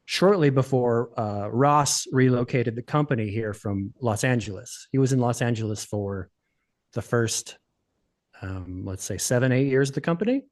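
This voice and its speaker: A man in his forties from the United States, chatting as a podcast guest in a relaxed, rambling way, with many fillers. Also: medium pitch, normal speed. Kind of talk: casual interview chat.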